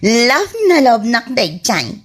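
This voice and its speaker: A woman playing a doting, spoiled, clingy wife in an Ilocano comedy radio drama, cooing in a drawn-out way with an Ilocano Filipino accent. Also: fairly high pitch, big pitch swings, fairly bright tone, slightly rough, exaggerated voice. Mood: boastful, smitten.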